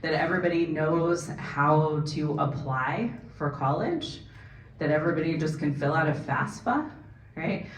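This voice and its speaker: Woman, Californian accent, low voice, earnest panel talk, echoey hall, distant mic. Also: expressive. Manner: earnest, frequent "right?" tags, quick asides. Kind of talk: panel discussion answer. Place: forum event hall.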